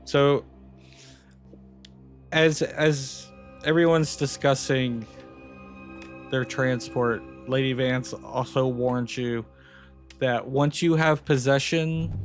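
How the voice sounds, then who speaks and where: A man running a tabletop game, talking casually in a mid-range voice with faint music behind him. He pauses and restarts often. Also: mid-range voice. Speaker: game master.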